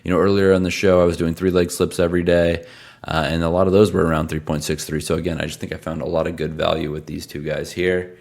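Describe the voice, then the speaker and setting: Male host, hoarse and worn out, gravelly and extremely low, chatty stat-heavy betting picks. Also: little pitch movement, fast talker, a little mellow. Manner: conversational, rattles off stats. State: hoarse, losing his voice; tired from travel. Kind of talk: casual sports betting analysis.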